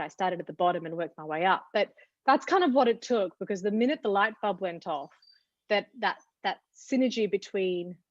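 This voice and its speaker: A woman's voice: mid-range voice, no echo. Aussie woman guest, lots of ums and restarts, dull dry sound. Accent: Australian. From Australia. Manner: reflective, many fillers and restarts. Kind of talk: interview answers.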